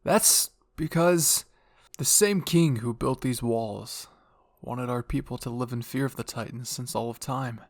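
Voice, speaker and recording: A man's voice: mid-range voice, unhurried, no room sound, clean studio sound, close to an everyday voice. A man voicing a pushy, fanatical royal father with a grandiose, fervent delivery in dramatic fan fiction roleplay.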